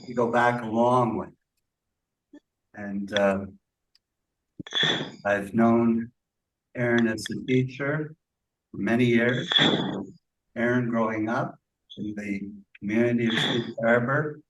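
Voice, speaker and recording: An older man giving an informal tribute speech in a halting, reflective way with long pauses. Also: mid-range voice, steady tone. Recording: distant mic with clear background noise.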